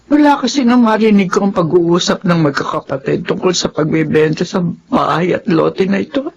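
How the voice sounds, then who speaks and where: An actress playing an elderly, stubborn and sentimental lifelong housemaid in a radio drama. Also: female voice, fairly low pitch, normal speed, a little mellow, highs cut off, slight background noise, close to an everyday voice. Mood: troubled. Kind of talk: Tagalog radio drama acting. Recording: music under the voice.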